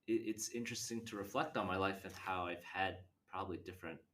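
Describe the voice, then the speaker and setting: A man from Canada answering interview questions in a halting, thoughtful way. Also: sits low, fairly bright tone, a little hiss or hum. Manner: halting, thoughtful, many restarts.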